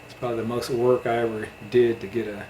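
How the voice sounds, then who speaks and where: A man's voice: mid-range voice, flat delivery, fast, darkish tone. American man chatting in an echoey room. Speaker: interviewer. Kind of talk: casual reminiscing interview. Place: indoor room at a home. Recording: roomy echo, faint background noise.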